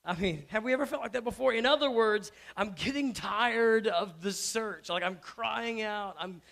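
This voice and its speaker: Hoarse pastor preaching in stages, halting. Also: male voice, sits high, a bit bright. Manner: conversational, stumbling restarts, rising to emphatic. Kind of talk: sermon. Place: church stage before an audience.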